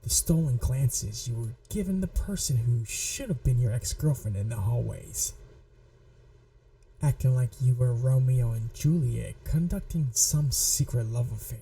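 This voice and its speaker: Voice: medium pitch, gravelly, dark tone, recorded from a distance, rough recording. A performer voicing a possessive, manipulative young yandere in an ASMR roleplay, taunting and coldly controlled. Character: possessive, manipulative yandere childhood friend, menacing young blackmailer. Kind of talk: scripted ASMR roleplay audio drama.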